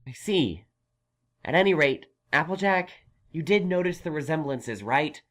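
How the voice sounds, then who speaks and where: A woman playing a brainy, exasperated young male unicorn magician in a fan audio drama, questioning in a measured way. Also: sits low, expressive. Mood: exasperated probing.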